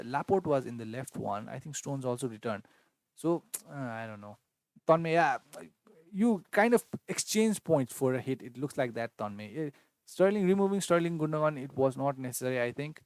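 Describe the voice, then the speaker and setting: A man's voice: medium pitch, darkish tone, dry recording. Male streamer, Newar, hesitant and thinking aloud, dry booth-like sound. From Nepal. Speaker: fantasy football livestream host. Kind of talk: casual live Q&A reading chat.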